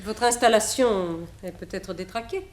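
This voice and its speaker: An actress playing a brisk, polite but insistent secretary in a French radio detective drama. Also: female voice, mid-range voice, a little mellow, roomy sound, close to an everyday voice.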